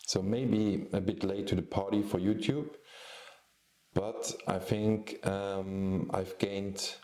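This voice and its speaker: A man in his forties from Luxembourg, not a native English speaker, hosting a casual photography vlog and talking hesitantly with many pauses and restarts. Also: low voice, steady tone, warm tone, echoey room. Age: 40s. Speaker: photographer and YouTube host.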